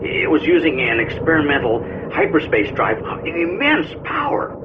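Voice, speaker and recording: A man playing a sober military scientist in a sci-fi game, giving a measured, grave explanation. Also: on the high side, huge pitch swings, muffled recording, background noise. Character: male military scientist soberly reporting alien findings. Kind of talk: dramatic sci-fi game briefing. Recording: band-limited radio sound, music behind. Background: music.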